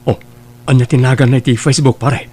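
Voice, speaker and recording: A man's voice: medium pitch, steady tone, quick pace, a little mellow, husky, natural voice. A man playing a carefree, flippant young womanizer in an audio drama, with an Ilocano accent. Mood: curious. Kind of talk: Ilocano radio-style audio drama acting.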